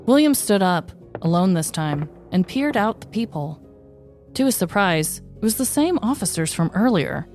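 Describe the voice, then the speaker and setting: A woman's voice: on the low side, darkish tone. A woman hosting a podcast, moving between scripted true-story narration and casual chat.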